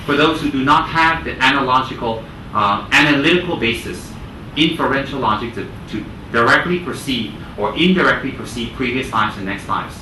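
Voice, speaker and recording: A man giving a Buddhist teaching talk to a group, speaking deliberately and repeating phrases for emphasis. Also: medium pitch, pushed and bright. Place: indoor room with listeners. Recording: roomy, distant mic, clear air-conditioning noise.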